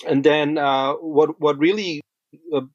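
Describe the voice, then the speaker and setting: A man's voice: on the high side, fairly even intonation, slow pace, dry recording, lo-fi sound. A man from rural Bosnia telling his story as a podcast guest, reflective and feeling his way through sentences. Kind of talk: conversational interview storytelling.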